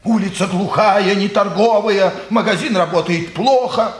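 An actor playing a hard-up, complaining middle-aged watchmaker in a Russian stage drama, with a plaintive manner. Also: male voice, high voice, measured pace, roomy sound.

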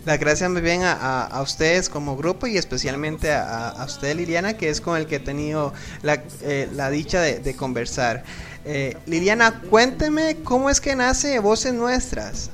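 A man's voice: on the high side, unhurried, fairly bright tone, soft background music. A man presenting and interviewing as a host, polite and formal in his address. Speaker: program host. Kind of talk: radio-style interview and presenting.

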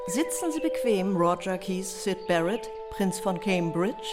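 A woman's voice: mid-range voice, lively intonation, unhurried, over music, close to an everyday voice. A woman playing a playful but firm mother at bedtime in a German radio play. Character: playful but firm mother putting her son to bed.

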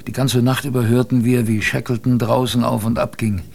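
An actor playing a male polar expedition survivor, narrating his story dramatically in first person, halting and repeating phrases. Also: mid-range voice, little pitch movement, gravelly, a little mellow. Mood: grave.

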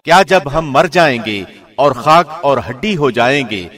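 A man reading a scripture translation formally, in the measured, solemn declamation of a radio presenter. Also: medium pitch, lively intonation, fairly bright tone.

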